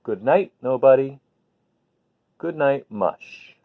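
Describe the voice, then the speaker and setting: A man hosting a children's bedtime read-aloud, talking gently and simply to viewers in an unhurried way. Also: medium pitch, animated. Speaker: host reading picture books to children. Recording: phone-like narrow-band sound.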